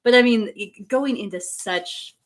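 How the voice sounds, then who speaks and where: A woman's voice: fairly high pitch, measured pace. A woman co-host in casual chat, commenting on a reading in a colloquial, wry way. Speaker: co-host commenting on a read-aloud.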